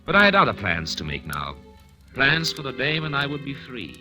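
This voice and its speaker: An actor playing a calculating, sly male prisoner who speaks smoothly and with menacing calm, and who also narrates, in 1940s radio acting. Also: medium pitch, moderate pace, music in the background. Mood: narrating.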